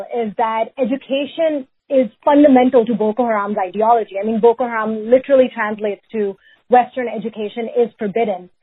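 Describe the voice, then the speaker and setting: A woman from Pakistan, a researcher answering interview questions in a measured way, often hedging with "sort of" and "if you will". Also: a little high, breathy edge. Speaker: researcher and guest. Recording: phone-like remote line.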